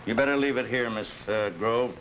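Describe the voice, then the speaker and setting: An actor plays a courteous, genial man on an institution's staff. His voice sits at an average male pitch. The style is 1950s television drama on a faintly noisy soundtrack. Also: brisk, natural voice. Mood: courteous, concerned.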